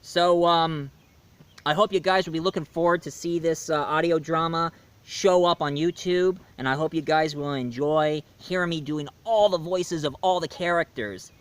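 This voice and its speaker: Guy rambling excitedly to camera about his own audio drama, voice sits a bit high, lots of ums and restarts. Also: moderate pace, clean tone. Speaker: YouTube reviewer. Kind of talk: casual vlog announcement.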